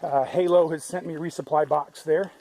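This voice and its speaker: A man's voice: fairly high pitch, fairly even intonation, fairly quick, dark tone. A man talking casually to his camera outdoors on a hiking trail, rambling and restarting his sentences. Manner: rambling, conversational, many restarts. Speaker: thru-hiker vlogger.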